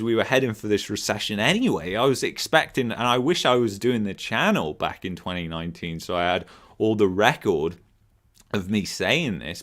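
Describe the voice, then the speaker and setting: Hoarse, deep-voiced man hosting a live Q&A. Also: fairly bright tone. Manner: rambling, loses his train of thought, chuckles often. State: hoarse from a sore throat. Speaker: finance channel host. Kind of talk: live stream Q&A, casual.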